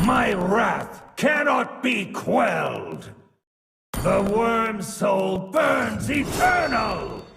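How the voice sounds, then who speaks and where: Game villain casting: vengeful infernal warrior, very slow, theatrical menace, gravelly and wrathful. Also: male voice, sits high, heavily acted. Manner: theatrical, grandiose, menacing declamation. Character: vengeful betrayed male warrior wielding infernal worm power, wrathful. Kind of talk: video game character voice lines. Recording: long reverb, distant, music behind.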